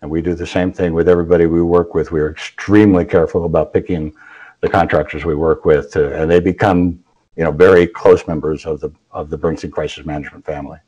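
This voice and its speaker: An older man in his sixties speaks as a consultant guest over a video call. His voice is very low, and he answers in an earnest, plain way. The audio sounds dull and muffled. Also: fairly even intonation, mellow, slightly rough. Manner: earnest, brief replies, quick laughs. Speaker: crisis management consultant, guest. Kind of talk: interview answers. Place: home office, video call.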